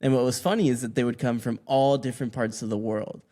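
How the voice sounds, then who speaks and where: A man's voice: mid-range voice, quick pace. Young man rambling through a podcast interview. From Mexico. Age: 20s. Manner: relaxed, rambling, many ums and restarts. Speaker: podcast guest. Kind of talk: casual interview answers.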